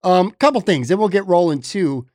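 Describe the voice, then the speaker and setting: A man's voice: high voice, lively intonation, moderate pace, a little mellow, no room sound, clean studio sound. Chatty fifty-year-old male podcast host. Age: 50s. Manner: chatty, rambling, friendly, says "man" and "brother" a lot. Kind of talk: casual chat.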